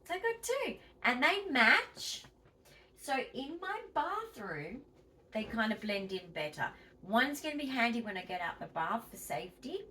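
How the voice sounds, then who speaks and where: An Australian woman talks to her viewers with a lively, swooping pitch that jumps up on the words she stresses. She is chatty and emphatic and sounds a little wheezy. She is recorded from a few feet away, with a faint hiss underneath. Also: unhurried, far from the mic. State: wheezy, tight-chested. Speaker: vlogger. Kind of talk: casual home vlog chat.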